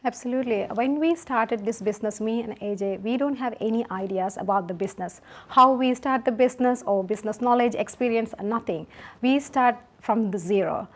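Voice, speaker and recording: A woman speaking sincerely and at a measured pace as a co-founder guest in a podcast interview. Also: a little high.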